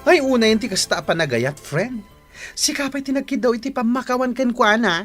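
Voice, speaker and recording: A woman's voice: on the high side, animated, a little fast, faint music behind. A woman with a Filipino accent playing an outspoken, protective young friend, scolding emphatically in a radio drama. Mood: exasperated. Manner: exclamatory, emphatic scolding, code-switches into English.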